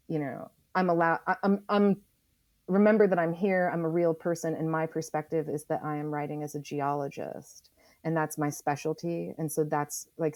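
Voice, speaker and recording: An American woman from Oregon explaining her work as an interview guest in a reflective, conversational way, emphatic and often pausing to think aloud. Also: deep voice, a little mellow, no echo. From Oregon, United States. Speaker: interview guest, author and geologist.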